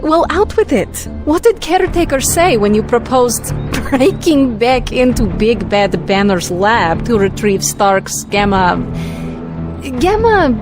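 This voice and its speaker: A woman's voice: fairly high pitch, sing-song, slow pace, music in the background. A woman playing a teasing, mischievous young sorceress, sly and confident, in a video game. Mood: amused, teasing. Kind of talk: video game cutscene acting.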